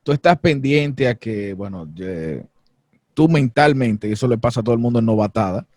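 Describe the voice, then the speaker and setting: A male host asks playful, probing questions in a casual interview. His voice sits at a middle pitch and sounds dry and close, with no room echo. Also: animated, moderate pace, darkish tone.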